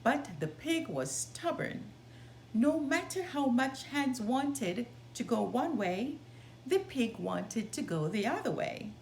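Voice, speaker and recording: A woman reading a children's story aloud in an expressive, deliberate way. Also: sits high, creaky. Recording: roomy sound, background noise.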